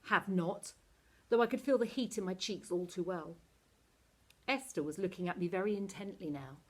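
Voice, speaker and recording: A woman narrating a children's novel aloud in an expressive, animated teacher's reading style. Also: on the low side, fairly quick.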